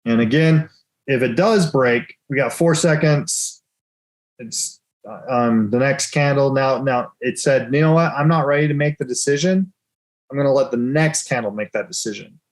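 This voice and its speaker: A man's voice: medium pitch, normal speed, poor audio. A man hosting a live currency trading room, explaining chart moves in a casual, joking way and laughing often.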